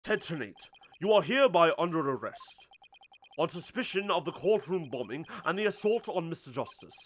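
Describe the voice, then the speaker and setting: Man playing a formal, high-pitched courtroom judge. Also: sing-song, normal speed. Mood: solemn. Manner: formal, declamatory. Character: solemn male courtroom judge, formal and stately.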